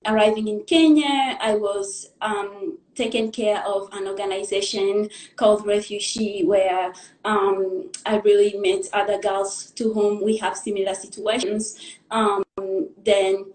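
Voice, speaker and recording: A young woman from the Democratic Republic of the Congo tells her life story as a guest in a live interview, in an earnest, halting way, pausing to gather her thoughts. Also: medium pitch, steady tone, a little mellow, echoey room, low-quality recording. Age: young adult.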